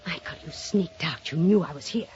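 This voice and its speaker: A woman's voice: deep voice, darkish tone, natural voice. An actress playing an obsessive, jealous woman novelist turned killer, speaking and narrating dramatically in a 1950s radio mystery style. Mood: tense, grim. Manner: dramatic, intense, deliberate delivery. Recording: dull old radio recording, music behind.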